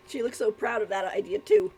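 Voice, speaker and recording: A woman streaming a game with casual, amused commentary. The voice is fairly high, and the manner is giggly and chatty. Faint music sits in the background. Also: sing-song, moderate pace, mellow, slightly rough. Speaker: live streamer.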